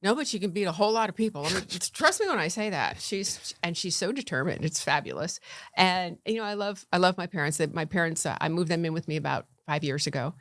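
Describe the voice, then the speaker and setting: A woman's voice: lowish voice, animated, fast talker, a bit bright, no echo. A middle-aged woman from Connecticut, a county commissioner chatting easily as a podcast guest, laughing often. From Connecticut, USA. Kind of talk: casual interview chat.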